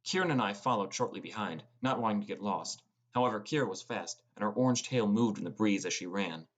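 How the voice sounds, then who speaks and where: A man's voice: fairly low pitch, brisk, close to an everyday voice. Man as teenage boy narrating a fantasy audiobook. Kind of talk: first-person scripted audiobook narration.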